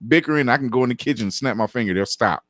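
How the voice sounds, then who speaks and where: An older man with a deep voice, chatting casually as a guest and laughing often while he tells stories. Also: racing, fairly bright tone, no echo, studio quality. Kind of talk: casual chat.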